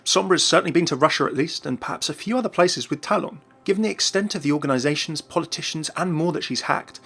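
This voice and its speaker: A man's voice: on the high side, a bit bright, husky. A man narrating scripted commentary for an online video.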